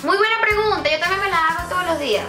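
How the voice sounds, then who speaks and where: A woman's voice: very high voice, fast talker, reverberant, over music. A woman in her twenties with a Venezuelan accent, chatting playfully to camera in a vlog and mocking herself as she tries out Chilean slang. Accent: Venezuelan, putting on Chilean slang. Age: 20s. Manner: playful, self-mocking, laughing often, animated hand gestures. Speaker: YouTuber. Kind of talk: casual vlog answering questions.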